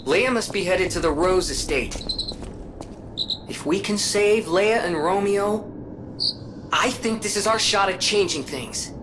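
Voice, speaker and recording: A woman's voice: mid-range voice, unhurried, long pauses, lightly acted. A woman playing an earnest, determined companion in a dramatic video game. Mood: earnest, hopeful. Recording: clear outdoor ambient noise behind voice.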